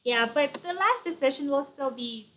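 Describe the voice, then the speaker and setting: A woman's voice: high voice, animated, normal speed, a bit bright, a little hiss or hum. A woman co-hosting a casual live stream, greeting viewers by name and chatting in an easygoing way full of "like". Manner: friendly, greets viewers by name, uses "like" often.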